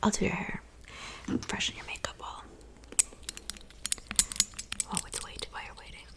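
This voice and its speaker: Whispering kindly witch, acted by a woman. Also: close to an everyday voice. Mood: gentle, attentive. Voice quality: soft, breathy whisper. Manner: gentle, unhurried, attentive, reassuring. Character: kind, fussy woodland witch mixing love potions. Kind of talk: whispered ASMR roleplay.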